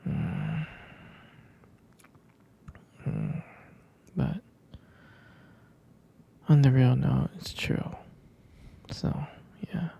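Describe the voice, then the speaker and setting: A man playing a sleepy, tender young boyfriend in an ASMR roleplay, murmuring soft, hesitant reassurance in a breathy, husky whisper. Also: mid-range voice, huge pitch swings, very slow, pauses often, exaggerated voice. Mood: reassuring.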